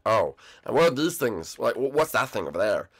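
A man's voice: mid-range voice, fairly quick, husky. Bowser Junior, voiced by a man, a whiny young prince. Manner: whiny, teasing, easily excited. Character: bratty young male dragon-turtle prince, whiny son.

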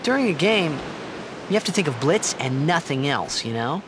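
An eager, restless young American hero from a video game. His boyish, earnest delivery swings widely in pitch. A clear surf-like rumble runs behind him. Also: normal speed. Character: eager, naive, restless teenage boy hero. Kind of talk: video game cutscene voice acting. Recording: game audio over steady surf-like rumble.

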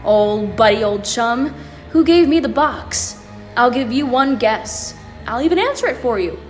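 A woman's voice: fairly high pitch, lively intonation, unhurried. A woman playing a cheeky, flippant alien mad scientist in a fan audio drama, with a sassy, sarcastic, casual delivery. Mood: taunting. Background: faint music.

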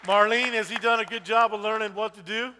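A man in his forties preaching an informal sermon in a church, talking loudly and animatedly while he walks among the audience. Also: sits high, a little fast, a faint crowd, slight background noise. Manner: loud and animated, walking the stage and aisles. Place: church sanctuary with a stage. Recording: long reverb of a church hall.

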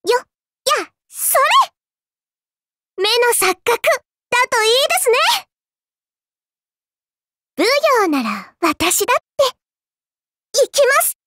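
Very high-pitched, sweetly condescending little girl. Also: sing-song, takes it slowly, pauses often, fairly bright tone, heavily acted. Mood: polite, playful. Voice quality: childlike, cutesy. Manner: polite, prim speech with teasing condescension. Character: dainty little girl, politely sweet with condescending, cruel streak. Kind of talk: Japanese mobile game character voice lines.

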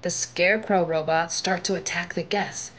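An older woman reading a children's picture book aloud in an expressive, dramatic way. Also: on the low side, normal speed, low-quality recording.

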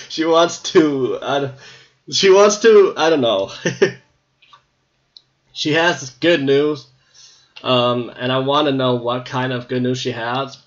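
A man giving casual, distracted commentary while playing a game, laughing often and talking to himself, his voice a bit hoarse. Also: medium pitch, slow pace, pauses often, lo-fi sound. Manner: giggly, distracted, talks to himself. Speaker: gaming video creator.

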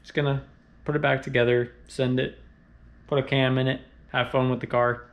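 A man's voice: mid-range voice, little pitch movement, normal speed. A man in his thirties talking casually to the camera as he takes apart a car engine in his home garage, thinking aloud with fillers and trailing doubts. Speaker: car channel host. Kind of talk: casual garage commentary while working. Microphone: action camera on body and tripod.

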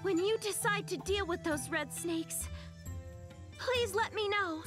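A woman's voice: extremely high pitch, takes it slowly, fairly bright tone, soft background music, exaggerated voice. A woman playing a polite, resolute young shrine maiden in a video game, speaking formally and earnestly. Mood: earnest, apologetic.

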